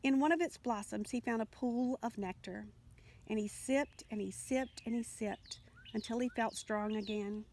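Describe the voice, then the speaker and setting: A woman narrates a children's story in a fairly high voice. Her reading is expressive, as for a picture book, and there is faint noise behind her. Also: moderate pace. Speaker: storybook reader.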